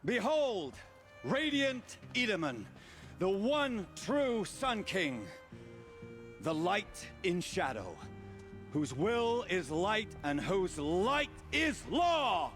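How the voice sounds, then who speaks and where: An actor playing a pompous, zealous royal herald in a video game, proclaiming in drawn-out declamation. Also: male voice, high voice, very animated, a bit bright, faint music behind, exaggerated voice. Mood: exalted, stern. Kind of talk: video game dialogue acting.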